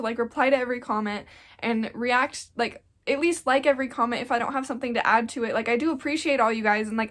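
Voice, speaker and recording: A woman's voice: a little high, fairly bright tone. A young woman around twenty, a little congested from getting sick, talking earnestly in a casual sit-down vlog and rambling with lots of "like.". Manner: earnest, rambling, with frequent "like" fillers. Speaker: YouTuber.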